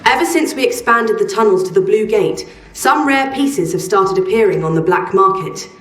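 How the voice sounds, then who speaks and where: An actress as an ambitious woman trader in a video game, grumbling and driven, with a medium-pitched voice. She sounds set back from the mic in a roomy echo. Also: animated, moderate pace, distant mic, faint music behind, natural voice. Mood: frustrated, determined. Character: ambitious, discontented female arms trader. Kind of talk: scripted video game dialogue.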